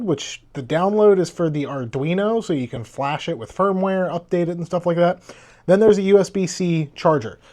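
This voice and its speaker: A man's voice: a little high, warm tone. A man giving a casual hands-on gadget review in a conversational, explanatory way. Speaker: tech reviewer.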